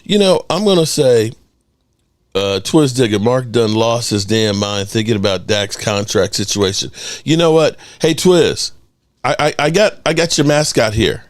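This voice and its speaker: A middle-aged man hosting a sports call-in show, animated and joking, swearing freely. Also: mid-range voice, unhurried, no room sound. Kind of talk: casual call-in show talk.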